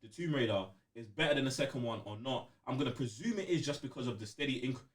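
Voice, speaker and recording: A man's voice: mid-range voice, lively intonation, fast talker, recorded from a distance. A man chatting casually on a gaming podcast, using plenty of slang and repeating himself. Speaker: podcast co-host.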